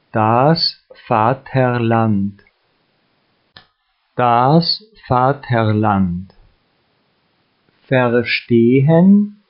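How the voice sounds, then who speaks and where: A man leads a listen-and-repeat drill, speaking each phrase with great care. His delivery is extremely slow, with long even pauses. The recording sounds a bit muffled and lo-fi. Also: medium pitch. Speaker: language teacher.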